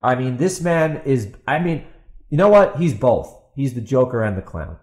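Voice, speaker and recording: A man's voice: mid-range voice, big pitch swings, moderate pace, a little mellow. Exasperated man ranting solo. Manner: exasperated, sarcastic, emphatic repetition; rhetorical questions put to an absent opponent. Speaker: podcast host and author. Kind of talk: ranting opinion monologue, off the cuff.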